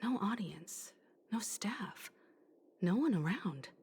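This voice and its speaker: Game girl, hushed and careful, dark soft breathy voice, takes her time. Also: mid-range voice, sing-song, takes it slowly, exaggerated voice. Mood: wary, hushed. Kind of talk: video game acting.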